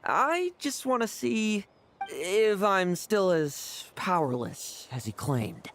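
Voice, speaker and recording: A woman's voice: medium pitch, very animated, takes it slowly, a little hiss or hum. A woman playing a cocky young male knight in an anime video game, flippant and taunting.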